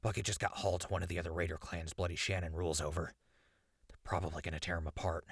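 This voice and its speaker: Casting: male actor as captured wasteland radio host, low husky voice, urgent, plain-spoken and stubbornly hopeful, for audio drama. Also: level tone, quick pace, no room sound, studio quality. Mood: grim explaining. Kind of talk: post-apocalyptic audio drama acting.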